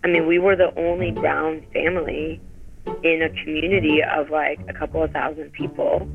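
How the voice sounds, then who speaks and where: Man, 30s, raised in Sweden, first language Swedish, slightly high gravelly voice, reflective casual storytelling. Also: little pitch movement, moderate pace, phone-quality sound, over music. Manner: reflective, heavy use of "like" fillers. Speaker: interview guest.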